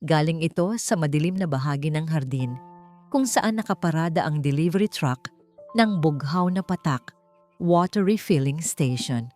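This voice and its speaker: Female Filipino narrator, slightly low register, deliberate dramatic delivery for scripted romance fiction, faint music bed. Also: darkish tone. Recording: music behind the voice. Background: background music.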